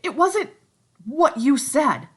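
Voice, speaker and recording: A woman voicing a shrill, anxious schoolboy while reading a novel aloud. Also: takes it slowly, full character voice. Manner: shrill, pleading, excited.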